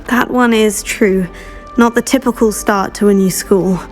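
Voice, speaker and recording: A woman playing a polite, earnest teenage girl, a new student in a video game, measured in manner. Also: mid-range voice, fairly even intonation, faint music behind, natural voice. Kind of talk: video game character dialogue.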